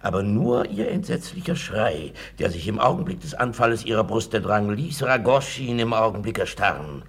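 A man's voice: on the low side, sing-song, normal speed, vocal fry, hardly any pauses, slightly rough, exaggerated voice. An actor playing an obsequious, scheming male landlord in a 1950s radio play, gushing and conspiratorial. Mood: fawning.

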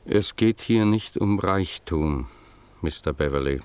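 Deep, solemn village pastor. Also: male voice, lively intonation, a little mellow, clean tone. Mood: solemn, reproachful. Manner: solemn, measured, formal. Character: grave, principled village pastor, earnest and steadfast. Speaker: radio drama actor. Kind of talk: German radio play acting.

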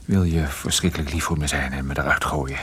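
A man playing a troubled, wry and guarded private detective in a radio play, with a husky voice and a dry, hesitant manner. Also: very deep voice, fairly even intonation, fairly quick, fairly bright tone, a little hiss or hum. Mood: tense. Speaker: lead character and narrator. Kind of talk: radio play narration and dialogue.

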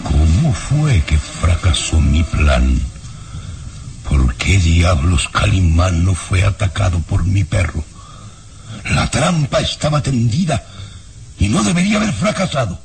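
A stammering scheming old baron. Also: male voice, low-pitched, expressive, takes it slowly, gravelly, darkish tone, slight background noise, heavily acted. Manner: stammering, flustered and defensive. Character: scheming older nobleman, a baron, sly and jittery. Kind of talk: old radio drama acting. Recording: radio serial with music underneath.

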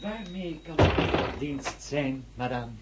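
An actor in a radio drama playing a middle-aged, deferential shop clerk, chatty and hesitant, with flustered stammers. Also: male voice, fairly high pitch, animated, takes it slowly, heavy background noise. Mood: obliging, salesmanlike.

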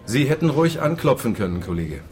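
An actor playing a dry, sardonic small-town police lieutenant in a radio crime drama. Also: male voice, mid-range voice, sing-song, fast talker, music in the background, lightly acted. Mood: curt.

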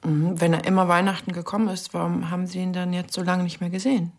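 An actress playing a patient, probing police detective in a radio crime drama, measured and questioning, with a husky voice. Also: female voice, on the low side, level tone.

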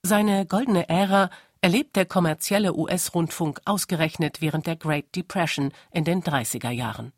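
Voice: mid-range voice, normal speed, few pauses, dry recording. Documentary narrator with a dry, close sound. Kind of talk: scripted radio documentary narration.

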